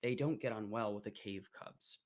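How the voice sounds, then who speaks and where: A man reading old letters aloud on a livestream, deliberate and measured, while getting over an illness. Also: lowish voice. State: recovering from an illness. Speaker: guest reader.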